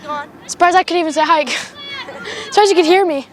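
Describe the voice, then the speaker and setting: Shouting woman in a roaring crowd. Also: very high voice, moderate pace. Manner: shouting, says she is losing her voice. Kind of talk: excited cheering and chatter. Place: outdoor game with loud crowd. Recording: loud crowd noise outdoors.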